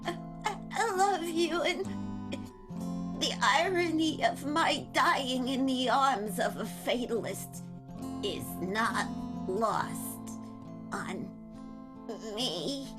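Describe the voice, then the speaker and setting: A woman playing a dying woman, slow and tender. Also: high voice, animated, full character voice. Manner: slow, halting farewell. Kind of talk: improvised radio play. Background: soft music.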